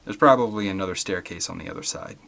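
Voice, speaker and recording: A man's voice: sits low, quick pace, creaky, fairly bright tone. A man voicing a stern, commanding paleontologist in an audiobook, grave, blunt and brooding. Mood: grave, decisive.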